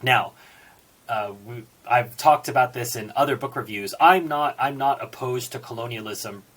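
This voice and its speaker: Slightly husky man reviewing books. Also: mid-range voice, moderate pace, a bit bright. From born in Singapore, United States citizen. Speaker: book reviewer. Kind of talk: book review talk with read-out quotations.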